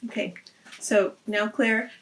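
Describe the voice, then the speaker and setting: A woman's voice: medium pitch, steady tone, takes it slowly, fairly bright tone, rough recording. A woman hosting a read-aloud, narrating a story for children.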